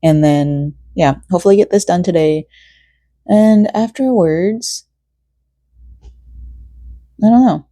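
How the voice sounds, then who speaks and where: A young woman vlogs from home while she crochets, tired and rambling, with lots of fillers and self-corrections. Her tone is mellow and soft, and the recording is close and dry with no room sound. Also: fairly low pitch, slow, long pauses, no echo. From South Korea, raised in Florida. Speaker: vlogger. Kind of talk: casual personal vlog chat while crocheting.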